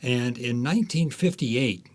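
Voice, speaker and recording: A man's voice: mid-range voice, measured pace, a little mellow. An American man in his seventies from Los Angeles, an author reminiscing casually in an interview. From Los Angeles, United States. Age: 70s.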